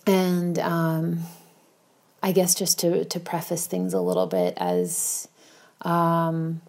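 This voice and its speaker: Slow, creaky-voiced American woman. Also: lowish voice, a little mellow. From Bayonne, New Jersey, United States. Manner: rambling, self-deprecating. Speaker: podcast guest contributor. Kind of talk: casual recorded monologue. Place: home kitchen.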